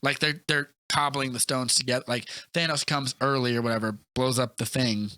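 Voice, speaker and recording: A man co-hosting a casual movie podcast, retelling scenes in an animated way and acting out quotes as he goes. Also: mid-range voice, a little fast, no room sound. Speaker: podcast co-host.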